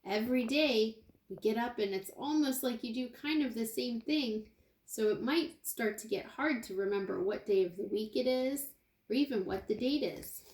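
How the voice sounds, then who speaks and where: A woman teacher reading a picture book aloud to young children, expressively, pausing for asides and questions to her listeners. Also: fairly high pitch, a little mellow. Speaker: kindergarten teacher. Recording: roomy, echoey indoor sound.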